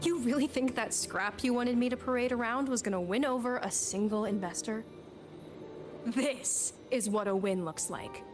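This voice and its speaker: An actress playing a brash young female inventor from a game, high-voiced and eager. She boasts emphatically like someone selling a prized machine, with clear music behind her. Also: moderate pace, natural voice. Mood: boastful. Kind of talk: video game voice acting.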